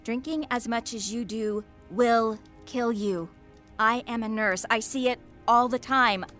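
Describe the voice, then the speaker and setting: A woman's voice: a little high, fairly even intonation, measured pace, a bit bright, soft background music. A woman playing a firm, blunt nurse in a radio drama. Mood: stern, insistent. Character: firm, blunt female nurse confronting a drinker.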